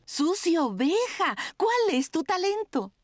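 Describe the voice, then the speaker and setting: A woman's voice: very high-pitched, sing-song, slow, dry recording, studio quality, exaggerated voice. A woman voicing a kindly, encouraging gazelle nursery teacher in a children's cartoon dub, with an instructive sing-song manner. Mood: inviting.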